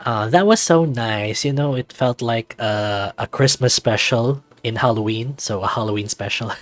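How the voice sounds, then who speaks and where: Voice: mid-range voice, normal speed, no room sound, soft background music. A video host narrating a visual novel aloud expressively and addressing viewers casually.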